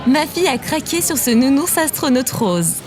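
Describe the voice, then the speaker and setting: Admiring mum actress, audio drama: reverent and gushing, very lively pitch, music and crowd behind. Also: female voice, moderate pace. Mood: awed, gushing. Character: starstruck, admiring young mother.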